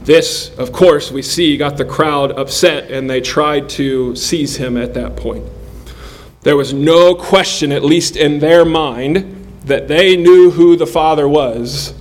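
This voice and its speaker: A man in his forties preaching a sermon in an earnest, measured way, pausing between phrases. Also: a little high. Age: 40s. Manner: earnest, measured delivery with pauses.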